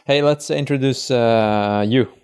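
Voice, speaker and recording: A man's voice: medium pitch, slow pace, a little mellow, smooth. A man hosting a casual conversation, easygoing and hesitant, with many fillers as he finds his words. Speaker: podcast host. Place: friend's house, video call.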